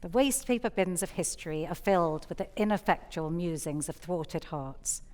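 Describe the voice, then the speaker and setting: A woman's voice: lowish voice, normal speed, a little mellow. A woman hosting a poetry evening, giving scripted introductions from a theatre stage.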